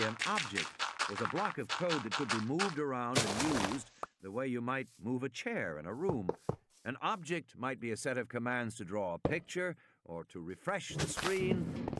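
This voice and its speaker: A man reading scripted audiobook narration with deliberate, precise enunciation. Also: mid-range voice, sing-song. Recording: loud gunfire and clicking game noise behind.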